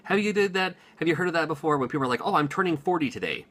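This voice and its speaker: A man's voice: a little high, expressive, racing, a bit bright. A man in his forties rambling casually to his viewers, chatty with lots of ums and little chuckles. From Washington, USA. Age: 40s. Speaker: gaming YouTuber. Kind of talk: casual unscripted monologue to camera.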